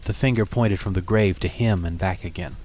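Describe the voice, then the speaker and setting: Low-pitched man narrating a solemn tale. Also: brisk, darkish tone. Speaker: storyteller, narrator. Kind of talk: dramatic audiobook-style narration of a classic tale.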